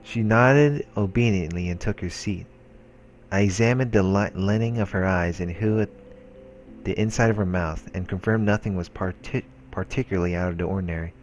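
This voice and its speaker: A man's voice: low voice, normal speed, dull audio. A man doing a let's play, reading visual novel narration aloud in a casual, informal way, stumbling now and then and adding his own comments. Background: background music from the game.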